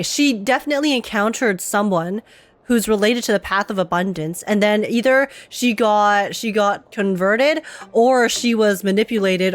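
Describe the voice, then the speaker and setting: A young woman reacting to a game on stream, chatting casually in a playful, giggly way. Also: mid-range voice.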